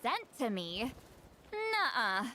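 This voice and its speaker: A woman's voice: on the high side, very animated, slow, very noisy. A woman voicing a haughty, grumpy, reclusive young shamaness in a video game, curt and aloof. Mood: grumbling, casual. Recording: faint music behind the voice.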